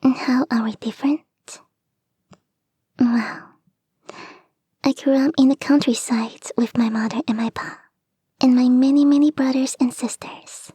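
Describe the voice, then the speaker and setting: Woman doing a teasing kitsune girlfriend for asmr rp, super breathy n whispery, high voice, up close. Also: fairly even intonation, warm tone, no room sound, full character voice. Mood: fond, explaining. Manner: slow, teasing, intimate. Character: playful, devoted kitsune fox girl, bodyguard and girlfriend. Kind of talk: ASMR roleplay, soft whispery delivery. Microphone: close microphone, dry.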